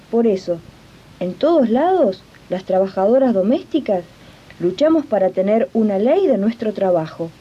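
A woman's voice: mid-range voice, close to an everyday voice. A woman playing a domestic worker who narrates a radio drama earnestly and didactically to her fellow workers. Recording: dull old radio recording.

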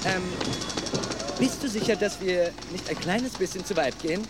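A man as a high-pitched nervous lawyer henchman. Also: moderate pace, very noisy, lightly acted. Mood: hesitant. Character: timid, nervous male lawyer, a jumpy henchman. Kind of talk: German audio drama dub. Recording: echoey, loud music underneath.

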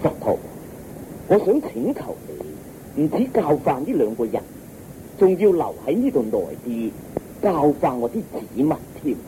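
A man's voice: a little high, sing-song, moderate pace, dark tone, slight background noise, low-quality recording. An actor playing a devout, stern king with a stately, emphatic delivery in Hong Kong Cantonese radio drama. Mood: respectful, earnest. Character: devout, stern king, earnest and easily indignant.

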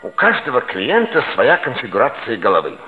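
A man's voice: mid-range voice, wildly swooping pitch, a little fast, phone-quality sound. An actor playing a chatty, philosophical small-town barber, proud of his trade, in a 1960s radio play. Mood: proud, philosophising. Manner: unctuous, musing, rhetorical questions. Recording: thin old radio recording, loud music behind.

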